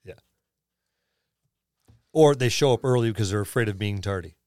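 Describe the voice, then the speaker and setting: A man's voice: on the low side, moderate pace, darkish tone, dry recording, studio quality. A man co-hosting a gaming podcast, talking informally and agreeably and acting out little imagined exchanges. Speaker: podcast co-host. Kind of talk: casual chat about tabletop gaming.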